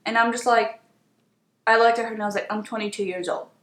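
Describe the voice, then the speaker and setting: A woman's voice: on the high side, fairly even intonation, fast talker, a bit bright. Chatty young woman in an echoey room. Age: 20s. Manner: chatty, exasperated, emphatic. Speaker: beauty vlogger. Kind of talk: casual story time while doing makeup.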